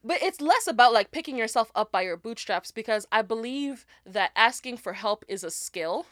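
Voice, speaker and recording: A woman's voice: a little high, normal speed, dry recording, clean studio sound. Young woman giving upbeat podcast advice. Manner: animated, laughs often, gives advice in numbered points. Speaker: podcast co-host. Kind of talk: casual chat.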